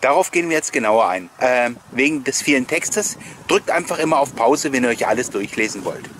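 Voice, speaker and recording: A man gives an informal voice-over in the manner of a host sharing memories with his viewers. He rambles amiably through anecdotes at a middle pitch and tends to chuckle. There is some audible background noise behind him. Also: lively intonation, brisk, a bit bright. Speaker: host of a collectors' nostalgia video channel. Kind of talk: chatty voice-over commentary with personal anecdotes.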